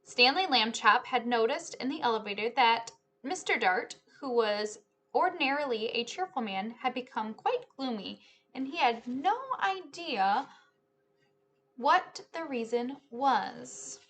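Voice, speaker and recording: A woman's voice: fairly high pitch, expressive, a little slow, fairly bright tone, slight background noise. A woman in her thirties reading a children's book aloud and explaining it to young listeners at home. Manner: addresses listeners as friends, explanatory. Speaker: teacher-style read-aloud host. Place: small living room or home office, indoors.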